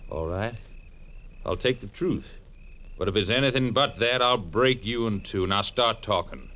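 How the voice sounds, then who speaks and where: A man's voice: fairly low pitch, measured pace, bright, forceful tone. An actor playing a big, blunt, jealous tough guy making threats in a 1940s radio drama. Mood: menacing. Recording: dull, muffled vintage radio recording.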